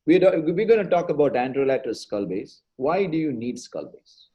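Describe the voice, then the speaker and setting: A man, a neurosurgeon, giving an animated webinar lecture over a video call, with lots of rhetorical questions. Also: on the high side, brisk.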